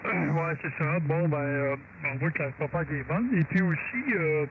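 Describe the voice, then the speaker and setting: A man's voice: on the high side, moderate pace. A man with a Québécois accent, a native French speaker, chatting casually as an amateur radio operator over a radio link. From Quebec, Canada. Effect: CB or ham radio transmission. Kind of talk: casual radio contact chat. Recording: narrow-band radio signal with loud static.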